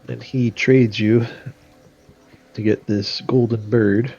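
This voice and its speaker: A man's voice: medium pitch, steady tone, slow pace, vocal fry, darkish tone, breathy edge, muffled recording, faint music behind. A man with a stuffy, nasal voice from a cold, casually explaining his moves while streaming a game and thinking aloud with many fillers. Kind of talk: casual gameplay commentary.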